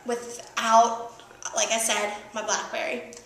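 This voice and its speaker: Teen college girl, bright voice, chatty, says um and like a lot, roomy echo. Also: fairly high pitch, level tone, takes it slowly. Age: teens. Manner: chatty, laughs often, says um and like. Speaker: college student interviewee. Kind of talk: casual interview answers.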